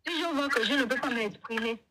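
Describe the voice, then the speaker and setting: A woman from Gabon with a Gabonese French accent, explaining herself haltingly on a live call. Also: on the high side, a loud crowd. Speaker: call-in guest telling her own situation. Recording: noisy live call with clicks.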